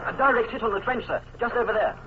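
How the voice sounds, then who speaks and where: An actor playing an earnest, deferential young naval lieutenant in an old radio drama. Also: male voice, high-pitched, quick pace, natural voice. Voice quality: earnest, respectful, ends lines with sir. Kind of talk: 1950s radio drama acting. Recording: very hissy, muffled old radio recording.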